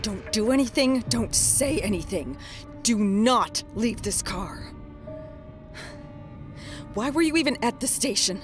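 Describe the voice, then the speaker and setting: An actress playing a stern, suspicious officer in an audio drama, curt and commanding. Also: female voice, on the high side, animated, slow, long pauses, faint music behind. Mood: angry, suspicious.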